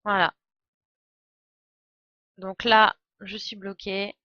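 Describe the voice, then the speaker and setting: A woman's voice: medium pitch, level tone, drawn-out words, no room sound. A young woman giving casual live game commentary as a streamer, sardonic and slangy. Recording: slightly dull, dry microphone sound.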